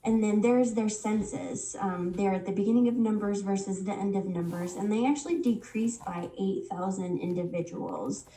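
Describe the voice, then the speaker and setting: A woman's voice: mid-range voice, fairly even intonation, dark tone, echoey room, far from the mic, low-quality recording. A woman recovering from a head cold, leading a casual Bible study lesson and stopping often on ums. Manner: unhurried, many ums and restarts.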